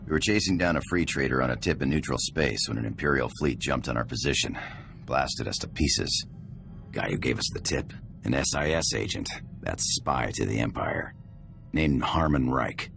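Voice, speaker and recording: A brooding ex-soldier rogue, guarded and curt. His extremely low, gravelly game-character voice sits over faint music. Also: animated, moderate pace, exaggerated voice. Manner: clipped, curt. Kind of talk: video game voice acting.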